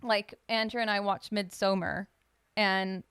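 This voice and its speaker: A woman chatting on her podcast in a smooth, clear voice, recorded close and dry. She rambles, restarts her sentences and leans on fillers as she talks. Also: medium pitch, fairly even intonation, measured pace, fairly bright tone, dry recording. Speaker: podcast co-host. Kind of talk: casual chat.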